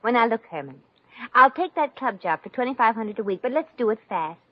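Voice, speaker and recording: A woman's voice: on the high side, lively intonation, fairly quick, no room sound. An actress playing a brassy, wisecracking, big-hearted showgirl in a slangy, sardonic 1950s radio comedy style. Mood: weary, brisk. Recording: muffled lo-fi 1950s radio recording.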